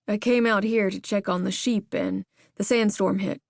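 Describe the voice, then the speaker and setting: A woman narrator puts on a tall, shaken ex-soldier cowboy from the American South, his drawl slow and halting. The voice is gravelly with fry, recorded close and dry with no room sound. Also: medium pitch, fairly even intonation, no room sound, lightly acted. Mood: somber. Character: tall dazed male ex-army cowboy, stammering. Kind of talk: audiobook character voice.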